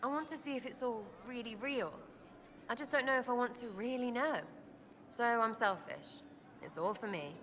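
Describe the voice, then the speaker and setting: An actress playing a brash, irreverent young streetwise rebel in a video game, with a British accent and blunt, cheeky, choppy phrasing. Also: female voice, on the high side, moderate pace, a bit bright, lightly acted. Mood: hesitant, earnest. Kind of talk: video game dialogue acting. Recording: clearly noisy ambient background.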